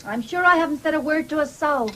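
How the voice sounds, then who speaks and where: High-voiced, nagging young country wife. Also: female voice, quick pace, fairly bright tone, slight background noise. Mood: earnest. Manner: urging, reproachful. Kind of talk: 1970s British radio drama acting.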